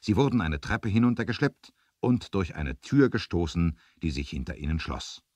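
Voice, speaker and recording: A man with a very deep voice narrates a seventies adventure radio play. His storytelling is dramatic, with lively swings in intonation. The sound is close and dry. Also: normal speed, no echo.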